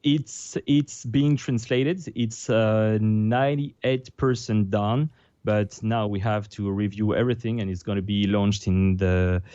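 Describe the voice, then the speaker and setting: A man with a French accent, French his first language, speaking as a guest on a video call, halting as he translates on the fly. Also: lowish voice, fairly even intonation, mellow, clear, resonant tone, no echo, muffled recording. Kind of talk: casual interview over video call.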